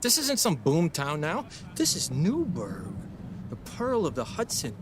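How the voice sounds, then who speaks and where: A man's voice: a little high, wildly swooping pitch, a little slow, noisy background. An actor playing a proud, opinionated 1930s craftsman in a period audio drama, talking in a blustery, emphatic, long-winded way. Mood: boastful. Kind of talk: period radio drama acting.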